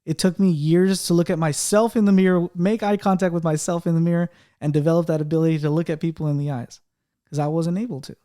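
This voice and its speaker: A man's voice: fairly high pitch, steady tone, quick pace, dark tone. A man hosting a podcast in casual chat, with a slight stutter, talking animatedly and acting out what other people say. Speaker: podcast host.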